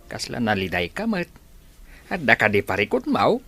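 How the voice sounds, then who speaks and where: Man playing a concerned father, low, bright and animated. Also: normal speed, faint music behind, full character voice. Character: caring, watchful elderly father questioning his grown children. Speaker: actor in an audio drama. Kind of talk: Ilocano audio drama acting.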